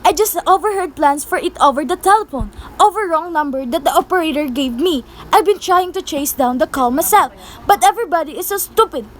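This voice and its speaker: Young woman playing a very high-voiced, fretful invalid wife. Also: animated, fairly quick, slight background noise. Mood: anxious, insistent. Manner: fretful, insistent, imperious. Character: anxious, demanding invalid wife, easily exasperated. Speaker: student performer in a school radio play. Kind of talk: amateur radio-play acting.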